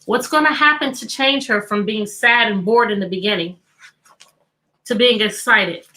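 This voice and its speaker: A woman's voice: mid-range voice, normal speed, sharp tone, low-quality recording. A woman teacher hosting an interactive children's read-aloud, telling the story expressively and putting repeated questions to her listeners.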